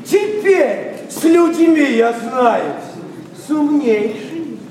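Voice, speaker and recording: An effusive chatterbox in a verse radio drama. He declaims slowly in a very high-pitched male voice, boasting and abasing himself theatrically, in an echoey hall with music behind. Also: expressive, darkish tone, far from the mic, a little hiss or hum, full character voice. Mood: excited boasting. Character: effusive, boastful, self-abasing man, a noisy muddled chatterbox.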